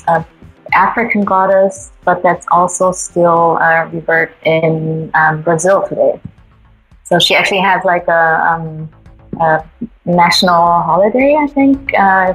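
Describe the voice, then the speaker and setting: A woman's voice: lowish voice, fairly bright tone, rough recording. A young woman from Colombia, raised in Germany, coaching artists on business, speaking thoughtfully with frequent hesitations. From born in Colombia, raised in Germany. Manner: thoughtful, hesitant with many ums. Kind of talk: casual interview.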